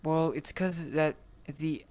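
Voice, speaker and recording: A man playing an easygoing, unsure adventurer in an improvised online roleplay, talking casually and haltingly. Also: on the high side, steady tone, darkish tone. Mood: pensive. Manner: hesitant, halting, searching for words. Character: easygoing, unsure young adventurer with an elemental bracelet. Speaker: roleplay player. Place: virtual reality voice chat.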